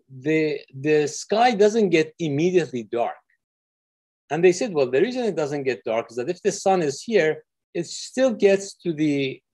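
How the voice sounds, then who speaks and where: A man's voice: a little high, darkish tone. Man from Iran giving an online physics lecture. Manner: friendly, explanatory, with frequent fillers. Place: office with windows. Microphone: video call.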